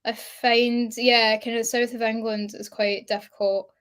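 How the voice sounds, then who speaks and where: A young Scottish actress chatting in an interview, bubbly and animated, laughing often as she tells stories. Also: on the high side, a little slow. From Scotland. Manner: bubbly, giggly, animated storytelling. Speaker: actress guest. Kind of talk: casual interview chat.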